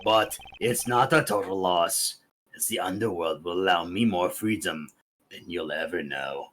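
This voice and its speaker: Sinister old male game villain, acted by a streamer: grandiose, bitter, low and menacing, theatrical delivery. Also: measured pace, fairly bright tone, a little hiss or hum, low-quality recording. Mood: gloating, bitter. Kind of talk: fan dub of a courtroom mystery game.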